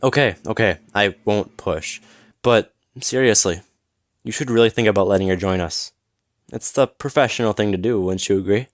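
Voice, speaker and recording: A man playing a smug, flirtatious businessman in an audio roleplay, teasing and smooth-talking with mock politeness. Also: lowish voice, normal speed, no echo, clean studio sound, lightly acted. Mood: needling, smug. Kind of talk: scripted audio roleplay acting.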